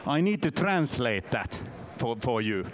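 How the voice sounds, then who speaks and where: A man from Finland in his fifties with a Finnish accent, giving a halting, self-deprecating conference talk in a second language. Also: medium pitch, sing-song, slight background noise. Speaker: conference speaker. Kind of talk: conference presentation. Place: conference session room.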